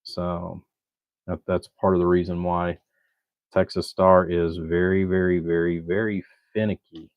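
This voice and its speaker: A man with a low, flat voice talks through technical details casually and haltingly, repeating himself as he goes. His delivery stays very even. The recording is dull and muffled, with a noticeably roomy echo. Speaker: electronics technician and channel host.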